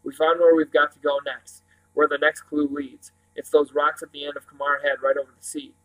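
A man's voice: on the high side, level tone, fairly quick, a bit bright, lightly acted, rough recording. Man reading as a weary, abrupt schoolboy. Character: weary, abrupt, skeptical older brother, a boy.

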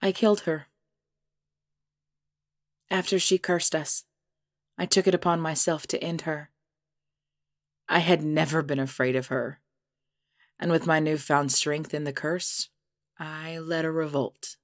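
A woman playing a proud, menacing supernatural mother in an audio drama, speaking in a formal, measured, deliberate way. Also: low voice, steady tone, dry recording, studio quality. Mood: cold, proud.

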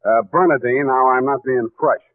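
An actor playing a hard-boiled, wisecracking private detective in a dry, wry 1940s radio style. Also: male voice, mid-range voice, steady tone, moderate pace, no room sound, lightly acted. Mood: wry, exasperated. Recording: narrow-band 1940s radio recording.

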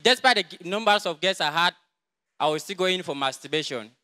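A young man with a Cameroonian English accent speaks in a quiet, halting way, the voice bright, crisp and rather high for a man. He is in a large crowded church, close to a handheld mic. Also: animated. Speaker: church member giving testimony. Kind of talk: testimony in church. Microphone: handheld mic held by another person.

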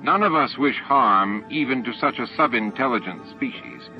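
A measured, falsely regretful alien commander, voiced by a male audiobook reader, speaks formally to his hive. The recording is muffled, with faint music behind. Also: medium pitch, lively intonation, pitched above the performer's own voice, natural voice. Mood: firm, solemn. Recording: dull lo-fi tape audio with music.

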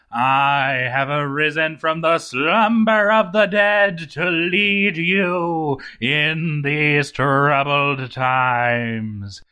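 An undead dwarf overseer risen to rule. The voice is pitched high and drawn out slowly. Every phrase is a grandiose, theatrical proclamation. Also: male voice, sharp tone, smooth, heavily acted. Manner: pompous, booming proclamation. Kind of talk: theatrical storybook monologue.

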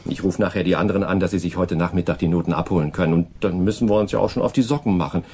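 An actor in a German radio play, playing a lively, enthusiastic jazz musician and cajoling husband. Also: male voice, deep voice, rapid-fire, breathy edge. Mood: eager, cajoling. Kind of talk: German radio drama acting.

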